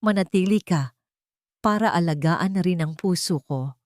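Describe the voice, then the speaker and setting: A woman's voice: fairly low pitch, normal speed, no room sound, studio quality, natural voice. A woman voicing an earnest, remorseful father in love in an audiobook, solemn and heartfelt. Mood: tender, pleading. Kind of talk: dramatic audiobook dialogue.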